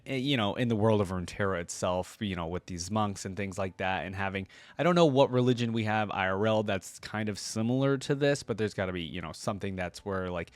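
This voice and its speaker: A man with a fairly low voice hosts a casual reaction. He talks conversationally, working through his thoughts aloud. The sound is dry, with little room on it. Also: dry recording. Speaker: YouTube reaction host. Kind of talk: casual reaction commentary with story read aloud.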